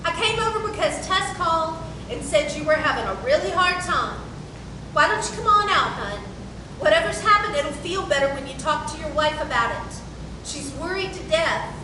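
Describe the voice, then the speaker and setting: A woman's voice: lively intonation, moderate pace. A woman playing a protective, worried mother who pleads and gives orders in folksy Southern wording at a stage play reading. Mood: coaxing. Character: protective, worried mother of a grown daughter, bossy. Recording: very echoey room from far off, rumbling noise.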